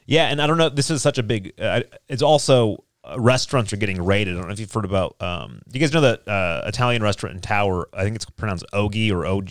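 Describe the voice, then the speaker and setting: A man's voice: on the low side, fast, few pauses, dry recording, clean studio sound. A man hosting a casual current-events panel chat, talking conversationally and hedging as he goes. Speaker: talk show host. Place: small studio.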